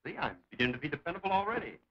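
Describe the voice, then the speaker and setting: Fast-talking breezy young playboy hero. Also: male voice, fairly high pitch, very animated. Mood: breezy, casual. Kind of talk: 1940s film serial acting. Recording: noisy, dull 1940s serial soundtrack.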